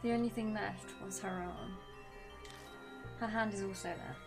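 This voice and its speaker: A woman's voice: mid-range voice, fairly even intonation, normal speed, creaky. A woman game streamer reading a visual novel aloud, breaking off for casual commentary. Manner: casual reactions between read-out lines. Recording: music behind the voice. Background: game music.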